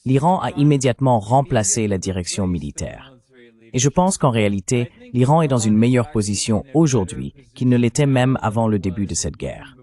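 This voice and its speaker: A man's voice: mid-range voice, animated, normal speed, mellow. A man delivering political commentary as an analyst, in an emphatic, argumentative way.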